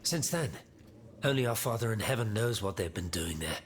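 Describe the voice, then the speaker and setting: A grave scholarly father type in a video game, kinda low voice, explains things slowly and seriously. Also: expressive, slight background noise. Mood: foreboding. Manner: solemn, deliberate, explanatory. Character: grave, learned father-inventor, devout and troubled. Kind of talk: video game cutscene acting.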